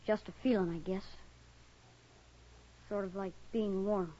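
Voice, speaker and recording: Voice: medium pitch, fairly even intonation, measured pace, mellow, natural voice. A performer playing a wary, guarded young boy in a radio western, speaking in short, blunt phrases. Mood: wistful. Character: wary, guarded young boy raised among Plains tribe. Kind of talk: 1950s radio western acting. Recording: dull old radio recording.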